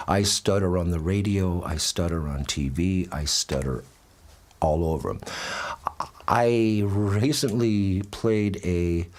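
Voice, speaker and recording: A man's voice: low-pitched, darkish tone. A middle-aged man who stutters, talking candidly in an interview, tired from touring and laughing often. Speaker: interviewee, musician. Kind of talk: relaxed interview talk.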